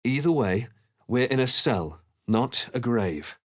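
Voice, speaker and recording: An actor playing a grave, watchful male adventurer in a video game, narrating in a measured, dramatic way. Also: medium pitch, lively intonation, dry recording, clean studio sound.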